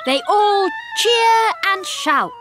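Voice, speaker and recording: A woman narrating a simple scripted story for English learners in a deliberate, expressive way. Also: very high-pitched, loud music behind the voice.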